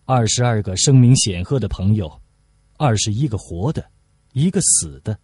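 Husky, expressive male audiobook narrator. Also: lowish voice, normal speed, no echo. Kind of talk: scripted literary narration, reading a classic novel aloud.

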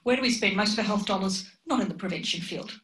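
A woman's voice: mid-range voice, fast, fairly bright tone, distant mic. A middle-aged woman, a public health physician, giving a frank, chatty, self-deprecating conference lecture. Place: lecture hall with projected slides. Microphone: lectern microphone, often far from it. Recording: echoey hall, speaker off-mic, faint noise.

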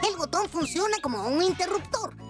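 A woman's voice: very high-pitched, expressive, fairly quick. A woman voicing a thoughtful, knowledgeable young creature in a children's cartoon, explaining things carefully. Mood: instructive. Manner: careful, instructive. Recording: music playing behind the voice.

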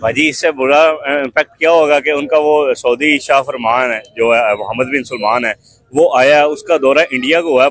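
A young man with a Pakistani accent answers a street interviewer. His voice sits a little high as he explains his opinion. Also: fairly bright tone. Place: busy market clothing stall.

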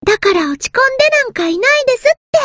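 A woman's voice: very high-pitched, animated, fast talker, a bit bright, dry recording. A woman voicing a small, timid, earnest anime game submarine girl, with a thin childlike voice and a hesitant, polite manner. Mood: determined, polite. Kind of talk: anime game character voice lines.

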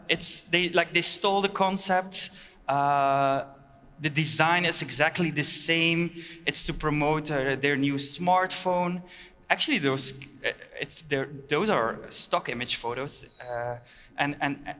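A man gives a conference keynote on a headset mic. He speaks plainly and haltingly, with many filler uhs. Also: on the high side, pushed and bright. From Belgium. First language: Dutch. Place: large hall stage. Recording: long reverb of a large hall.

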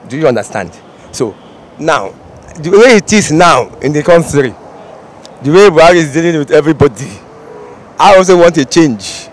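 A middle-aged Nigerian man with a Nigerian accent, answering a street interview at a roadside, rambling and repeating himself. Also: fairly high pitch. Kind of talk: vox pop street interview. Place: busy city roadside outdoors. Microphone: handheld reporter mic. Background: traffic and street ambience.

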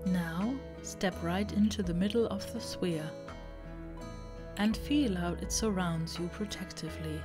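A woman reading a guided meditation, giving slow, deliberate instructions in short phrases. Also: lowish voice. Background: relaxing background music.

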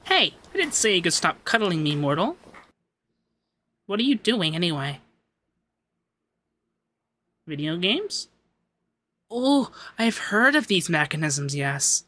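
A man as a haughty, bright-voiced femboy demon lord. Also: high voice, big pitch swings, slow, pauses often, exaggerated voice. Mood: imperious. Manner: pompous commands that slip into flustered cooing. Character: haughty, flustered young femboy demon lord, secretly soft. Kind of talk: ASMR roleplay.

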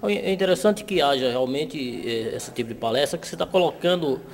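A man's voice: on the high side, expressive, brisk. A man in his fifties giving approving, somewhat hesitant comments into a handheld mic in an indoor room. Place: indoor room with people around. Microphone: handheld interviewer mic. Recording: crowd chatter behind.

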